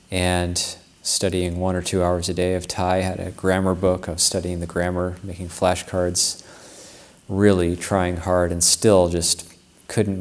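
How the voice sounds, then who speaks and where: A man, a Buddhist monk, giving a Dharma talk, telling a personal story in a reflective, unhurried way with frequent restarts. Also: low-pitched.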